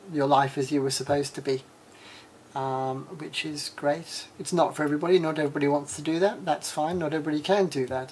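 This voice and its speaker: A woman in her forties from the UK, with an English accent turned slightly Australian, chatting frankly in a casual vlog and often pausing with ums and uhs. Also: low-pitched, darkish tone, slight background noise. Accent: English with a slight Australian tinge. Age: 40s. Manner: rambling, many ums and uhs. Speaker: vlogger.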